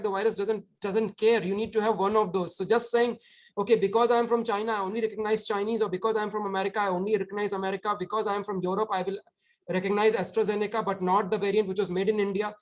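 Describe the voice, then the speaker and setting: Earnest man explaining at length. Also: high-pitched. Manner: earnest and explanatory, repeats words while thinking. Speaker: book author answering questions. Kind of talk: Q&A answer at a book talk.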